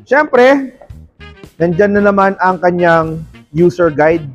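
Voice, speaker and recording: Man, Filipino accent, high voice, salesman-like, playful vlogger chat, English terms mixed in, background music. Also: animated, takes it slowly, dull audio. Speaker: vlogger presenting a product unboxing. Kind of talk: casual product review chat.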